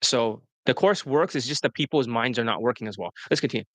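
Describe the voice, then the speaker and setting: A man's voice: quick pace, fairly bright tone, no echo. Brash, profane male dating coach. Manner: brash, profane, mocks students in high-pitched quoted whines. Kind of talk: blunt promotional coaching talk.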